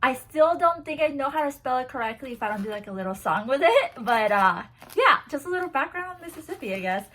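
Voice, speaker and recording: Giggly excitable woman doing an unboxing, kinda high voice, bounces all over. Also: fast, few pauses. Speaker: vlogger unboxing a swap box. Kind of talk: casual unboxing chat to camera. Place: indoors at home, seated on a bouncy ball.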